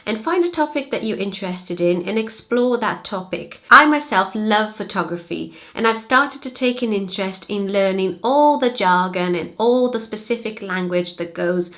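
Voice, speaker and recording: A woman's voice: medium pitch, expressive, moderate pace, hardly any pauses, fairly bright tone. Upbeat Brazilian woman teaching vocabulary. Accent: British-leaning English from a Brazilian speaker. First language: Portuguese. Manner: clear, upbeat, explanatory. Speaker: language-learning vlogger. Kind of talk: friendly tutorial vlog. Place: home office.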